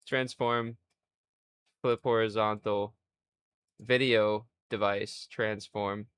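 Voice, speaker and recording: A man in his twenties streaming live, rambling in a crude, self-mocking way and thinking out loud. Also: lowish voice, clean tone, dry recording. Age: 20s. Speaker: livestreamer. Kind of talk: casual livestream chat.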